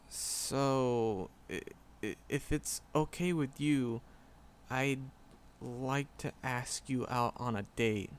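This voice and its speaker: A shy, lovestruck magic professor acted by a man speaks in a slow, halting way at a medium male pitch. He stammers and hesitates, flustered and earnest, as a character in an intimate fantasy roleplay audio drama. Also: natural voice. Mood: relieved, happy.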